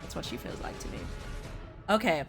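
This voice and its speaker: A woman hosting a casual, chatty discussion of video games. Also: brisk. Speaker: host of a gaming tier list video. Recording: music playing behind the talk. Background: background music.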